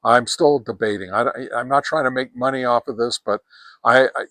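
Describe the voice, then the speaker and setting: A man's voice: medium pitch, moderate pace, sharp tone, dry recording. A man hosting a casual hands-on gear review outdoors, talking in a folksy way and repeating words for emphasis. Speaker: product reviewer and host. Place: outdoors on a deck.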